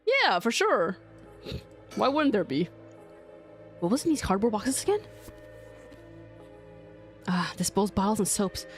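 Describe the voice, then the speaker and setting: Chatty woman playing a game and commenting, really animated pitch, soft music behind her. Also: measured pace, long pauses. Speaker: host of a video game let's play. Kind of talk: casual game commentary mixed with reading dialogue aloud.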